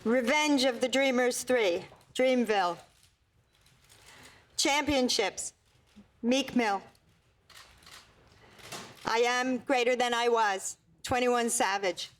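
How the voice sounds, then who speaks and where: Slow, excited woman announcer. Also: fairly high pitch, a little hiss or hum. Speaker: nominations announcer. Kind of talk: reading nominee lists.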